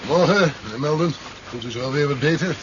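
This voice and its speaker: A plain-spoken, dutiful sheriff in a vintage sci-fi radio play, played by a man. His voice sits fairly high for a man. The recording is muffled, with clear noise behind it. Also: brisk, close to an everyday voice. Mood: polite, businesslike. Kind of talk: 1970s Dutch science fiction radio drama acting.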